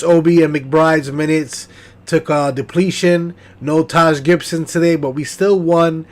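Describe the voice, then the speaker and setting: A young man hosting a casual sports stream, his voice stuffed-up and nasal from congestion. He pitches a bit high and rattles off scores and shout-outs between fillers. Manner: hype, shout-outs, stat rundown with fillers. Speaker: sports podcast host. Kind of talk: casual post-game sports talk stream.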